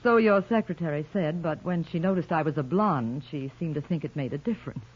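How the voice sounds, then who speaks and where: An actress playing a composed, businesslike, fed-up wife in an old-time radio drama, speaking in a crisp, no-nonsense way. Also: female voice, deep voice, fairly quick. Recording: muffled old radio recording.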